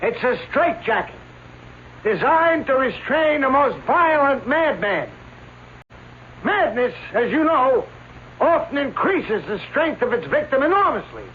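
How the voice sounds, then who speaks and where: A man's voice: very high voice, sing-song, measured pace, full character voice. An actor playing an elderly male stage escape artist who talks in grand, theatrical showman patter, in vintage radio drama acting. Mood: grandiose. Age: older. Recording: tinny old radio recording with hiss.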